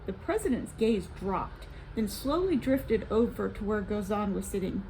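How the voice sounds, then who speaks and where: A woman reading a story for children in an expressive, dramatic and suspenseful narration. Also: mid-range voice, normal speed, a little hiss or hum, poor audio.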